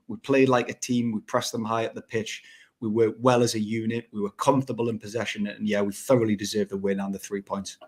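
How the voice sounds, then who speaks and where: A man's voice: medium pitch, gravelly. A man of about thirty, an enthusiastic football fan on a podcast, speaking emphatically with a voice just back after losing it. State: voice recovering after being lost. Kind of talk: casual football match review.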